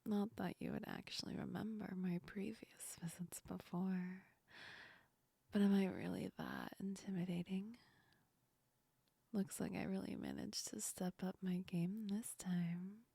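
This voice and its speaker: A woman playing a teasing yet comforting female sleep demon in a whispered ASMR roleplay, breathy and soft, speaking close to the ear. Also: medium pitch, steady tone, measured pace, no room sound. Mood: teasing, mocking. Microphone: very close ASMR microphone.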